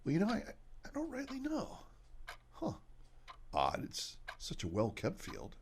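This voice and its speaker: A man's voice: high-pitched, wildly swooping pitch, a little slow, slight background noise, exaggerated voice. A man playing a superstitious, teasing farmer father in a horror radio drama, with old-fashioned rustic phrasing. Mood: folksy, musing.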